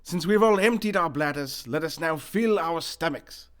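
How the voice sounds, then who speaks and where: Man doing a grandiose newspaper tycoon, theatrical, slightly high voice, comedy radio play. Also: expressive, normal speed, a bit bright. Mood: grandly hospitable. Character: pompous wealthy newspaper boss, middle-aged man.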